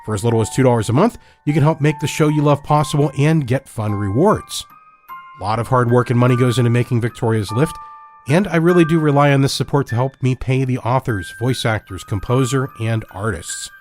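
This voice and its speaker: A man hosting and narrating an audio drama, reading a scripted story in a measured, deliberate manner. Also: mid-range voice, darkish tone, soft background music. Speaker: host and narrator.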